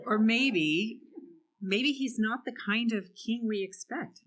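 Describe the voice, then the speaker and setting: A woman playing a hopeful, faithful townswoman who answers gently and reassuringly in an amateur church dramatic reading. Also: a little high, slow.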